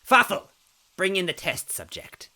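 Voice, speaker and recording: A raspy, gravelly old witch, as a male reader puts her on, cruel and scheming in a storybook tale. Her sneering voice leaps wildly up and down, from breathy hisses to shrill shrieks, with a sharp, tense edge. The recording is dry and close. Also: very animated, measured pace, full character voice. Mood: scornful. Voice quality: raspy, cackling. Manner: sneering, theatrical swings from hiss to scream. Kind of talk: storybook reading with character voices.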